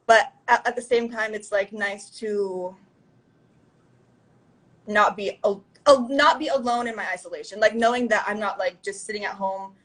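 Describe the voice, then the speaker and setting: A woman's voice: mid-range voice, normal speed, a bit bright, rough recording. A young adult woman talking as a guest in a casual interview, rambling with lots of "like" and "you know". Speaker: guest musician.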